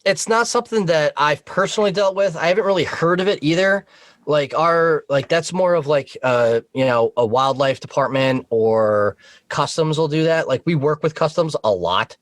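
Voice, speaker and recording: A man's voice: a little high, normal speed, no room sound. A man chatting informally as a podcast guest, telling work stories and swearing casually. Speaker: podcast guest, Coast Guard boat operator.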